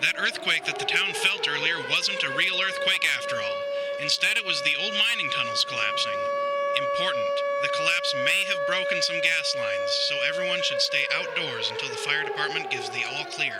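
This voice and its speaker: Lively folksy radio newsreader man acting. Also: a little high, normal speed, very noisy, lightly acted. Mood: announcing. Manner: chirpy, announcing, folksy. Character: folksy, upbeat male small-town radio newsreader. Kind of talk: radio news bulletin in audio drama. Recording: loud music behind the voice.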